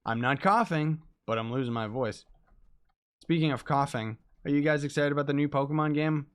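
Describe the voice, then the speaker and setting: A young man chatting casually with viewers while he plays a game, hoarse and losing his voice from being sick, talking in an excitable, laid-back way. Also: fairly high pitch, fairly quick. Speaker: game streamer. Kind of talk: casual livestream chat while gaming.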